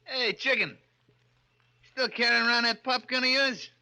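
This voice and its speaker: An American actor playing a loudmouthed, mocking gangster who taunts and crows in an old-time radio crime drama. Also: male voice, very high voice, fairly even intonation, moderate pace, creaky, full character voice. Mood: jeering. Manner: taunting, crowing.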